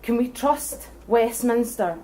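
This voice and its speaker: A young Scottish woman giving an earnest, forceful speech at a public debate, building her case point by point. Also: a little high, animated, slow pace. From Scotland. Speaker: debate speaker for independence.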